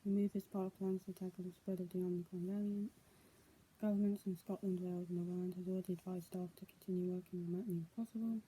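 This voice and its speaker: A woman's voice: on the low side, little pitch movement, fast talker, mellow, slight background noise, low-quality recording. A young adult woman, speaking as a vlogger in a casual, homemade style. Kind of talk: casual vlog, reading news aloud and chatting.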